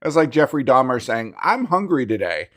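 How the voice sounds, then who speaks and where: A man's voice: medium pitch, moderate pace. A middle-aged man co-hosting a casual podcast, laughing often and restarting his sentences. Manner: animated, laughs often, talks through restarts. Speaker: podcast co-host. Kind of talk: casual chat.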